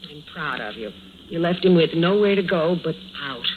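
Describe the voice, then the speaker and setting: An actress playing an anxious, genteel and protective wife in an old radio drama, fretful and politely prim. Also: female voice, low-pitched, lively intonation, normal speed, narrow, tinny audio, noisy background. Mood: relieved, anxious. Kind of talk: mid-century radio drama acting.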